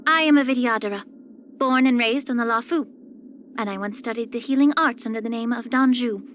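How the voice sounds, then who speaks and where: A woman voicing a poised, soft-spoken, subtly sly young female healer in a video game, with measured, gentle, composed delivery. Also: fairly high pitch, a little hiss or hum, lightly acted. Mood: composed, courteous. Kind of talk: scripted character voice lines.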